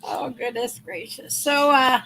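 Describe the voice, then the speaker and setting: High-voiced chatty woman hosting a livestream. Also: fairly even intonation, a little slow, a little hiss or hum, rough recording. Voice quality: big loud voice. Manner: chatty and rambling, warmly thanking viewers by name. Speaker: livestream host. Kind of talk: casual livestream chat greeting viewers.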